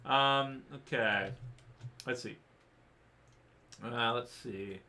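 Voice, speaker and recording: A man's voice: medium pitch, extremely slow, bright, forceful tone. A man hosting a casual livestream, rambling through viewer questions with many fillers and restarts, saying he is losing his voice. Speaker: livestream host answering chat.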